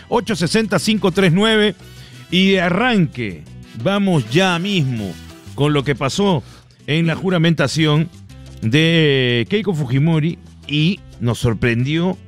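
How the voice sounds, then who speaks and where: A Peruvian man hosts radio comedy in a fairly high, lively voice, swinging his intonation through joking banter. Soft music plays behind him.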